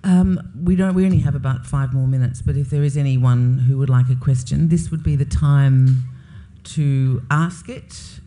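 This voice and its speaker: Mellow-voiced interviewer at a live talk. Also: mid-range voice, moderate pace. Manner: curious, chatty questions with fillers. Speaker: interviewer hosting an onstage author talk. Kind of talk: onstage interview.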